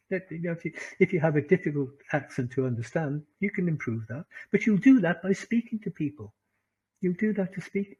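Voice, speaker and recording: A man with a Welsh accent teaching an online English class, animated, laughing often and repeating phrases for emphasis. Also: mid-range voice, slightly rough, highs cut off. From Wales.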